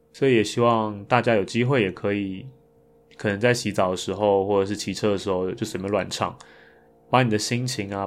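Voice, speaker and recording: A man speaks in a fairly low voice with a Taiwanese Mandarin accent. He hosts a podcast alone, talking in a casual, reflective way. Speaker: podcast host.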